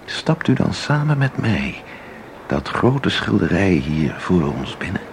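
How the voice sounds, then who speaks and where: A man's voice: deep voice, big pitch swings, slow, gravelly, slight background noise, full character voice. An actor playing an intense, mysterious man in a radio drama, speaking with insistent, theatrical deliberation. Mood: persuasive. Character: intense, mysterious man who claims to enter paintings. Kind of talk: Dutch radio drama acting.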